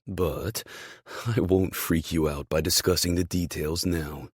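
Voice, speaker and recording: A man's voice: low voice, fairly even intonation, measured pace, breathy edge, dry recording, studio quality. A man voicing a hearty, encouraging male team boss in an emphatic, fatherly way for an audiobook. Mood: hearty, proud.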